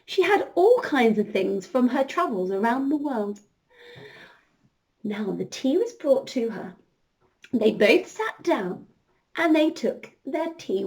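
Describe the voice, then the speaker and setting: High-voiced, unhurried woman telling children a story. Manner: expressive, encouraging, addressing children directly. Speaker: children's storyteller, princess entertainer.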